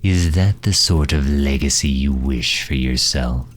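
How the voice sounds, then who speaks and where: A man playing a grave, haughty dark knight who absorbs souls, speaking formally and deliberately with a deep, breathy, husky voice in an audio roleplay. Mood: brooding, solemn. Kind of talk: slow dramatic audio roleplay monologue.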